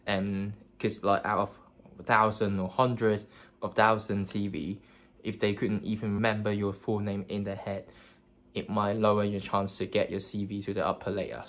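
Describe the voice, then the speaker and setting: Low flat-voiced young man. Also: normal speed. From Hong Kong. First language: Cantonese. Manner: earnest, many fillers and restarts. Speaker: career advice vlogger. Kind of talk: casual advice talk to camera.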